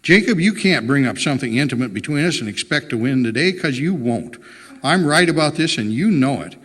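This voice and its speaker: A man's voice: medium pitch, lively intonation, fairly quick, a bit bright, long reverb tail. A man voicing an earnest, morally insistent wife in a storybook reading, impassioned and pleading with her husband. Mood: indignant.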